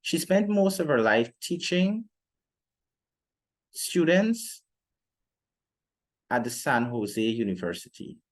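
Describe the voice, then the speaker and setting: A young man giving an explanatory lecture in a formal, deliberate manner, pausing often between phrases. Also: on the high side, very animated. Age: young adult. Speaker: literature teacher presenting a lesson. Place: indoor room, seated before a plain wall.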